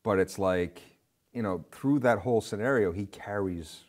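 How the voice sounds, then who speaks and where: A man's voice: fairly low pitch, normal speed. A middle-aged man giving rambling, casual film commentary as a studio guest, on a lapel mic. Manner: rambling, full of "you know". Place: indoor studio set with curtains.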